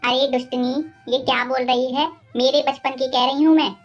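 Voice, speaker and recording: A very high-voiced, bossy mother-in-law acted out by a woman plots and scolds in a cartoon moral tale. She is middle-aged and villainous, quick to hurl insults, with music playing behind her. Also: flat delivery. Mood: scolding. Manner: bossy, scolding, name-calling. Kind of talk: animated moral-story dialogue.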